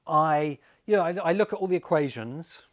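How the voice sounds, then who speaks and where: A man's voice: a little high, expressive, moderate pace. Man, opinionated video essay host, informal and discursive, talks right to the listener. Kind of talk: informal explanatory monologue to camera. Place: home among rice fields in Bali.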